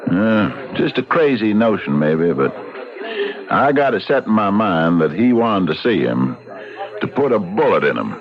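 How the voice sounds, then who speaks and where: A deep-voiced American frontier sheriff, folksy and wary, with a swinging, colloquial delivery. The sound is a dull old radio drama recording. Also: male voice, big pitch swings, a little slow, a crowd in the background. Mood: cautious. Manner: folksy drawl, hesitant, colloquial. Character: plain-spoken, folksy frontier sheriff, wary but pitying.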